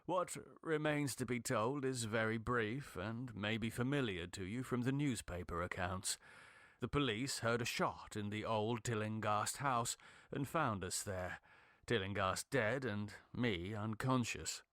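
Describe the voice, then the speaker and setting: A man reading a horror story as a dramatic narrator, in a grave, measured, ominous manner. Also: fairly low pitch, fairly bright tone, no echo, clean studio sound. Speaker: audiobook narrator.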